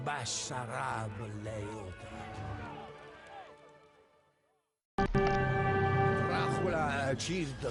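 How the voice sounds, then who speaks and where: An actor playing a solemn storyteller who recounts a medieval warlord's tale in dramatic game cutscene narration. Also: male voice, fairly high pitch, vocal fry, heavily acted. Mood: dramatic, intense. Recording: music behind the voice.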